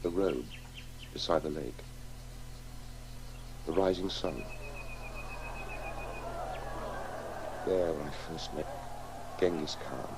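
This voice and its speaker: An actor playing a distracted, grieving middle-aged English husband with a halting delivery in a radio drama. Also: male voice, rumbling low, background noise. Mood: brooding. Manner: absent-minded, halting. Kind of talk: 1980s radio play acting.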